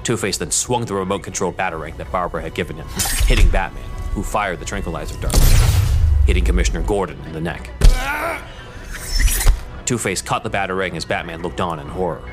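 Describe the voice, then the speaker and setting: A man narrating an audio drama in a steady, measured storytelling manner. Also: sits low, over music.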